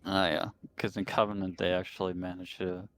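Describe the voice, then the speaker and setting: Low, gravelly man at a tabletop game. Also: level tone, fairly quick, fairly bright tone, no echo. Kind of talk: casual chat and in-character roleplay.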